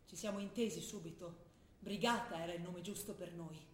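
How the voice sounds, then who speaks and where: A woman acting a young revolutionary who writes earnest letters to her father, speaking intensely and sometimes dropping to a hush, in a roomy stage recording. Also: on the low side, normal speed, slight background noise, natural voice. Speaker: stage actor. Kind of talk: theatre drama broadcast on radio.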